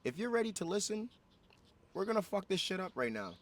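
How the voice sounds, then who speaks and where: Excitable profane man hosting interview. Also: high-pitched, brisk. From Ghana. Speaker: vlog interviewer. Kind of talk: casual street interview. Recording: distant mic with background noise.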